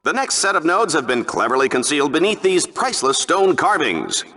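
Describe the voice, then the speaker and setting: A man's voice: fairly high pitch, big pitch swings, brisk, bright, forceful tone, heavily acted. An actor playing a brash, smarmy TV announcer of arena combat in a video game, with hammy, punchy showman delivery. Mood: hyped showmanship. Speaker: sports show announcer. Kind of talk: over-the-top game show sports commentary.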